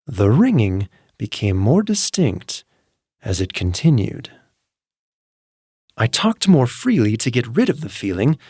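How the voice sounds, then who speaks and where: A man narrating a classic horror tale, moving between calm menace and frantic intensity. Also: fairly low pitch, no echo, clean studio sound.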